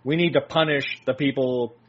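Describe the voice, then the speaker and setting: A man's voice: mid-range voice, steady tone, normal speed. A man co-hosting a political talk show, laying out his argument steadily and point by point. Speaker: co-host. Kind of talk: political commentary chat. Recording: dull lo-fi sound.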